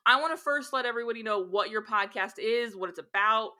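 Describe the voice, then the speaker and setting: American woman, podcast host, crisp bright tone, enthusiastic, casual chat. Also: fairly high pitch. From United States. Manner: upbeat and enthusiastic, quick reactions.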